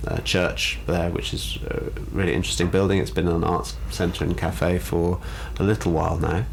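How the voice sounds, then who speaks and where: A man's voice: low voice, fairly even intonation, normal speed, poor audio. A man speaking as a theatre company co-founder and radio guest, chatting casually and enthusiastically with hesitant, wandering phrasing. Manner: enthusiastic, hesitant phrasing with many hedged asides. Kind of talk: casual radio interview chat. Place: radio studio. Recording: clear background noise and ambience.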